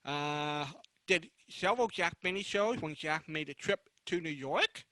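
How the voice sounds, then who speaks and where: A man moderating a panel, introducing guests off the cuff in a halting, conversational way. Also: a little high, animated, bright, forceful tone, no echo.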